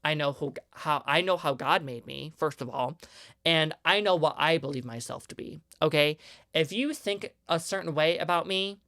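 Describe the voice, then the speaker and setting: A man in his twenties hosting a solo podcast, with a higher-pitched voice and an earnest, emphatic way of ranting. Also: normal speed, gravelly, a bit bright, dry recording, clean studio sound. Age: 20s. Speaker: podcast host.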